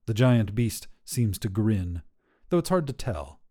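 A man's voice: on the low side, sing-song, measured pace, dark tone. A man narrating scripted first-person short fiction for a podcast.

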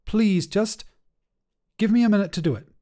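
An anxious young person, voiced by a male reader, apologetic and tentative. The pitch sits higher than the storyteller's own, with a pleading manner suited to a narrated short story. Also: normal speed, warm tone, natural voice.

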